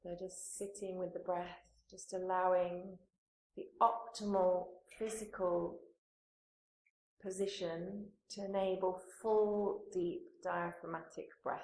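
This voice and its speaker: A woman yoga teacher leading a guided meditation in a calm, soothing manner, with pauses between phrases. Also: fairly low pitch. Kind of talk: guided meditation, gentle spoken instruction. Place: indoor room, live training course class. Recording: roomy echo, live class recording.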